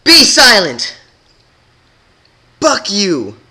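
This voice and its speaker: A man's voice: high-pitched, huge pitch swings, extremely slow, bright, forceful tone, slightly rough, voice pushed up, full character voice. A man voicing a bitter rebel pony mare with a hostile, accusing snarl, in a storybook reading. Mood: enraged.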